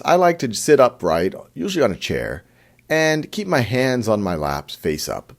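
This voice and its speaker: A man leads a guided meditation as if chatting one to one. His delivery is gentle and unhurried, with a mid-range pitch that moves up and down a great deal.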